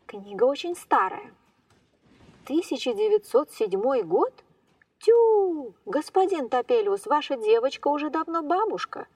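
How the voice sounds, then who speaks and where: A woman playing a lively, playful woman turned into a train in a children's fairy-tale podcast. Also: high voice, dry recording, distant mic. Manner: animated, exclamatory, playful.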